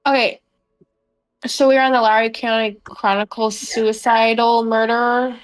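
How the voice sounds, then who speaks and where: A woman's voice: a little high, little pitch movement, unhurried. A woman chatting casually with fellow players during a tabletop role-playing game. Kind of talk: casual group discussion.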